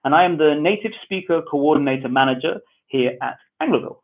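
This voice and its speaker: A man from England with a Manchester accent, hosting an online webinar and calmly giving welcome and housekeeping instructions. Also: on the high side, brisk. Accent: Mancunian English. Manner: friendly, repetitive, relaxed instructions. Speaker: webinar host. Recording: tinny phone-like online audio.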